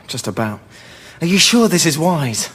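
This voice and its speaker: A man's voice: on the high side, big pitch swings, normal speed, a bit bright. A man playing a nervous, reluctant accomplice in an audio drama. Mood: uneasy. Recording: faint background noise.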